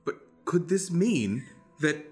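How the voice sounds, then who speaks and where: A man's voice: fairly high pitch, lively intonation, takes it slowly, a little mellow, soft background music. A man playing a bookish, easily astonished nobleman scholar in an improvised comedy radio play, incredulous and declamatory. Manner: incredulous, declamatory when reading.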